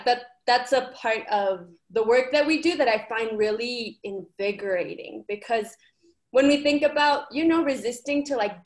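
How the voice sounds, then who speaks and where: A young woman from Ecuador, a program coordinator, talking earnestly and casually with many fillers. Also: a little high. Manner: earnest, uses many fillers like "like" and "you know". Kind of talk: casual conversational reflection.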